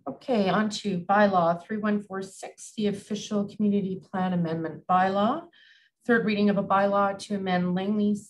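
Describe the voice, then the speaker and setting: A woman with a Canadian accent, chairing a council meeting as mayor, reading bylaw motions in a brisk, procedural way over a video call. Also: mid-range voice, slight background noise, low-quality recording. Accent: Canadian English.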